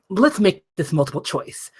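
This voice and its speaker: A woman's voice: fairly low pitch, sing-song, slow pace, breathy edge, exaggerated voice. A woman playing an impatient, foul-mouthed detective in a comedy table read, brusque and exasperated.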